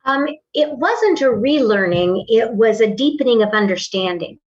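An older woman in her sixties talks in a measured, articulate way about her teaching. The recording sounds dull and lo-fi. She is a little away from the mic. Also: fairly high pitch, lively intonation, recorded from a distance. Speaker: voice and acting teacher. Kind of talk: casual interview conversation. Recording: dull lo-fi audio, slightly off-mic.

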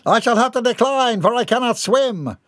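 Man doing a shrill pompous spoiled aristocrat. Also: lively intonation, normal speed, a bit bright, dry recording, studio quality. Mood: haughty demanding. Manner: haughty, imperious commands. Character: pompous portly spoiled male aristocrat, demanding.

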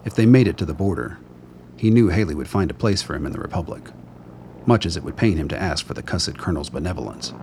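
Male narrator for an audio drama. Very low register, scripted storytelling, ambience underneath. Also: lively intonation, fast, darkish tone, a little hiss or hum.

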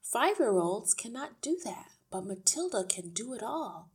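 Woman acting a polite, resolute schoolteacher, lifted pitch. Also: big pitch swings, unhurried, breathy edge, heavily acted. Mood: earnest, insistent. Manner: polite, earnest, firm. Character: slim frail young woman schoolteacher, polite but resolute. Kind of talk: acted audiobook dialogue.

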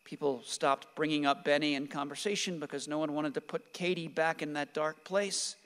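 Guy acting bitter grieving dad in a radio play, highish voice, big echoey hall. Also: steady tone, normal speed, fairly bright tone, close to an everyday voice. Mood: sorrowful, bitter. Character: grieving, bitter, guilt-ridden husband and father who clings to guns. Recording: reverberant hall-like room.

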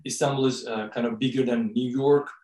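A man with a Turkish accent speaks English haltingly, pausing to search for words. He sits back from the mic in a roomy space, and his pitch is middling for a man. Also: fairly even intonation, warm tone, recorded from a distance. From Turkey. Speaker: guest, co-author and professor. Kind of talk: podcast interview.

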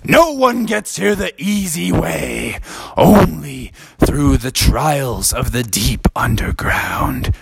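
A man doing a slow, stately old knight. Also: on the high side, expressive, vocal fry, breathy edge, no room sound, full character voice. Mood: stern. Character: solemn old male knight guarding a treasure.

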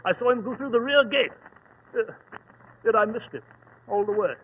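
An actor playing an earnest, aging sidekick to a detective in an old-time radio drama, blustery and hesitant. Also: male voice, high voice, normal speed, lightly acted. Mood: apologetic, puzzled. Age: older. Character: earnest, fussy, aging male detective's companion. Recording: muffled, noisy old radio recording.

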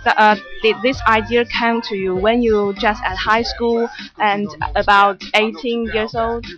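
Young woman presenter, careful halting English. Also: a little high, flat delivery, a bit bright. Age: young adult. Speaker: student radio presenter. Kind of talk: radio interview hosting. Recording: muffled lo-fi radio recording with music behind.

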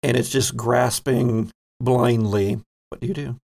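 Slow, gravelly male game master. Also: medium pitch, steady tone, darkish tone. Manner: dramatic storytelling in short, suspenseful phrases. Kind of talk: improvised narration of a tabletop horror game.

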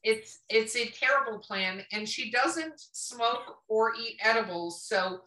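A woman's voice: on the high side, expressive, slow pace, sharp tone, a little hiss or hum. A woman hosting a podcast in casual chat, with a blunt, teasing, sweary way of talking. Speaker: podcast host. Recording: roomy echo, distant mic, faint music.

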